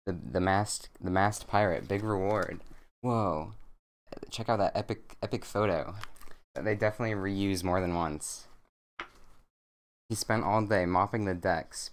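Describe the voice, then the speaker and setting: A young man streaming, chatting casually and reading a bedtime story aloud in a deadpan, monotone way with dry jokes. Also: low voice. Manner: self-described monotone, deadpan and joking.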